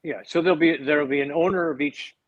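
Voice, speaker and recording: A man's voice: fairly high pitch, fast, no room sound. A man hosting a casual interview, asking long, enthusiastic questions with many restarts. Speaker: host. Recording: dry, slightly dull recording.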